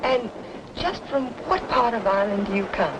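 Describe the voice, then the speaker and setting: A woman's voice: medium pitch, expressive, normal speed, noisy background, close to an everyday voice. An actress playing a skeptical, wry young woman with a dry, polite manner in an old radio noir drama. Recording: vintage radio drama, loud music behind.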